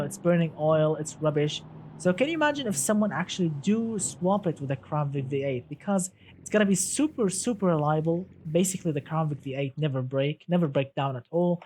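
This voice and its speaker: A man's voice: on the high side, a little mellow, a little hiss or hum. A man whose first language is Arabic, chatting casually on a live stream about cars and reading out viewer comments, with many restarts and a friendly "my friend.".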